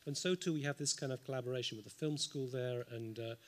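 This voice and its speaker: A man speaks at a symposium panel table, thinking aloud with frequent ums and dry little asides. His voice sits at an ordinary middle pitch. He is picked up by a table microphone in a large lecture hall. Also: fast. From United Kingdom. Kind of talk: unscripted panel response.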